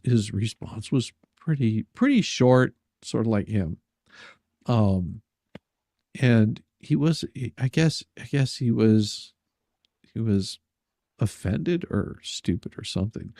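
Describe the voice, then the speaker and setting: Sarcastic, gravelly man hosting a stream. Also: mid-range voice, lively intonation, darkish tone, no room sound, clean studio sound. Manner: sarcastic, joking, halting with repeated words. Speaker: live stream host. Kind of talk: casual chat, reading messages aloud with sarcastic commentary.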